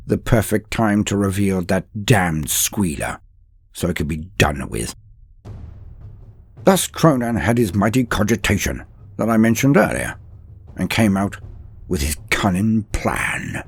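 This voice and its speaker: A man's voice: lowish voice, a little mellow, husky. A man narrating a comedic mock-epic story with archaic phrasing and joking asides.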